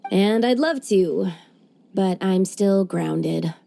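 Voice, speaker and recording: A woman's voice: mid-range voice, takes it slowly, gravelly. A woman voicing an earnest, wistful young woman from a strict tea-farming family in a game cutscene. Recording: soft game music underneath.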